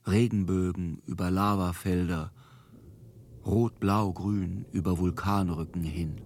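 An actor playing a contemplative man beholding visions, reciting in a measured, lyrical radio drama style. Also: low voice, fairly even intonation, darkish tone, exaggerated voice.

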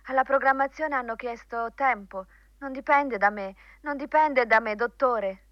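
A woman's voice: fairly high pitch, measured pace, dry recording, lo-fi sound, close to an everyday voice. An actress in an Italian radio play playing a submissive, hesitant female secretary who answers to her boss. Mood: anxious, apologetic. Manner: submissive, questioning.

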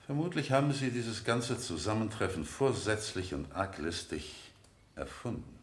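A man acting a sarcastic male defence lawyer in a radio courtroom drama. His pitch swoops widely as he needles and insinuates, and the room around him echoes strongly. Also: expressive, normal speed, close to an everyday voice. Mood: accusing. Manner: sarcastic, insinuating cross-examination. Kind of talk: radio play courtroom dialogue. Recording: roomy 1970 radio drama studio.

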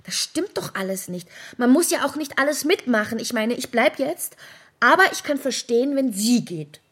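Anxious actress, higher voice, a little husky, hesitant, probing, naturalistic radio drama. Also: female voice, lively intonation, moderate pace, fairly bright tone. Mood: nervously placating. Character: anxious, questioning actress waiting at an audition.

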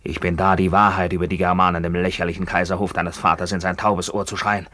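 A man's voice: level tone, fast, pushed and bright, no room sound. A man playing a bitter, maimed Roman back from captivity, declaiming with grim command in a radio drama. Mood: ominous, raging. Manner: declamatory, grim, swelling from low murmurs to fierce cries. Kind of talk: theatrical German radio drama acting.

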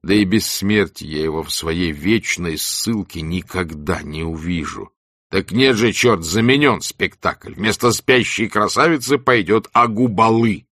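A man voicing a gruff, wry, ailing ex-camp exile who tells things in animated colloquial speech. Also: sits low, unhurried, no room sound, studio quality. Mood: rueful, animated.

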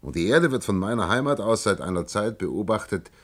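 An actor playing an earnest young scientist from another planet in a radio play, halting at times and given to grave pronouncements. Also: male voice, lowish voice, animated. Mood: grave, explaining. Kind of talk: German radio play acting.

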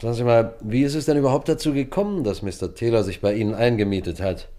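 An actor as a police sergeant in a radio crime drama, with a medium-pitched male voice. He is courteous and matter-of-fact, probing with measured, official politeness. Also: dark tone, natural voice. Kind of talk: German radio play acting.

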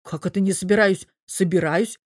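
A woman's voice: on the low side, lively intonation, moderate pace, no room sound, clean studio sound, lightly acted. A woman voicing a stubborn, exasperated young man in love, slangy and defiant, in a story reading. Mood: exasperated protest.

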